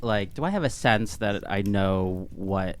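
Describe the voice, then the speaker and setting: A man playing a tabletop roleplaying game, talking casually in halting, hesitant phrases. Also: lowish voice, expressive, slight background noise. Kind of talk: casual chat, in-game discussion.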